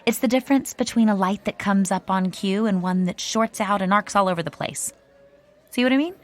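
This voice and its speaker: A woman's voice: mid-range voice, brisk, faint music behind, close to an everyday voice. A woman playing Diane, a chatty theater crew member who explains magic lore with relish. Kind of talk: radio drama acting.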